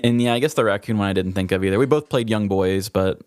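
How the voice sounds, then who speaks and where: A man's voice: lowish voice, quick pace, a little mellow, smooth, dry recording, clean studio sound. A man co-hosting a podcast, chatting casually in a joking, irreverent way. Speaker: podcast co-host. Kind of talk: casual comedic chat.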